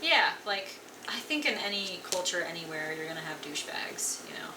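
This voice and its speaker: A woman's voice: on the low side, a little fast. A young woman musician from Colorado, chatting casually in an interview with lots of "like" fillers. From Colorado, USA. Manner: relaxed, filler-heavy, frequent "like". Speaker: guest musician. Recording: echoey room, distant mic, noisy.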